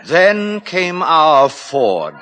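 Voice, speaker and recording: An actor playing a fussy, effusive director of a futuristic hatchery in a 1950s radio drama, lecturing pompously and eager to please. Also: male voice, a little high, sing-song, extremely slow, a crowd in the background, exaggerated voice. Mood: smug amusement.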